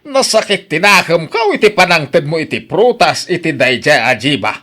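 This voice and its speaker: Stingy Ilocano husband, pitch swooping. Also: male voice, big pitch swings, normal speed, sharp tone, exaggerated voice. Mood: grumbling, agitated. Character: stingy, stubborn older farmer husband. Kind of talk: Ilocano radio drama acting.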